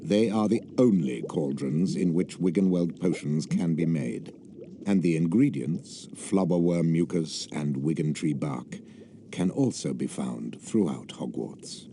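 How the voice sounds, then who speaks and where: A cold British wizard-school teacher in a video game. His voice is extremely deep and very dark, with slow, sneering deliberation. Faint noise sits behind him. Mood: cold lecturing. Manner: slow, deliberate, condescending delivery.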